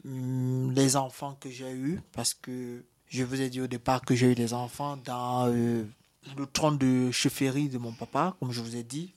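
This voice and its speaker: Hesitant man with a Cameroonian accent. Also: medium pitch. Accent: Cameroonian French. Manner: hesitant, many fillers and restarts. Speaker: interviewee, asylum seeker. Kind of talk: personal testimony in an interview.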